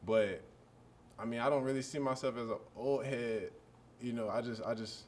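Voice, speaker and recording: A man in his early twenties, a college football player, answering press questions in a relaxed, rambling way full of fillers. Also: medium pitch, normal speed. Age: early 20s.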